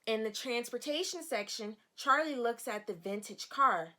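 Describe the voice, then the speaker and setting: Higher-pitched woman reading aloud to children. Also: normal speed, background noise. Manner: expressive, explains words simply, poses questions. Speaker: teacher reading a picture book aloud to young children. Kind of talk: read-aloud storytelling with questions to children.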